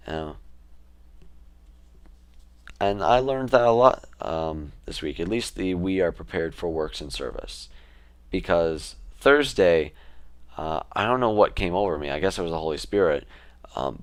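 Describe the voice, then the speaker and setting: Young man, very low voice, smooth and clear, dry close mic, casual rambling vlog talk. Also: dry recording. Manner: relaxed, rambling with fillers and restarts. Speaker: vlogger.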